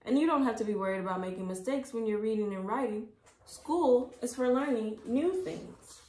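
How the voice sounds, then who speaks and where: A woman's voice: fairly high pitch, expressive, moderate pace, warm tone, pitched above the performer's own voice. A woman plays a tall lady teacher from a storybook. She is friendly, polite and a little nervous, heard in an echoey room. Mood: reassuring.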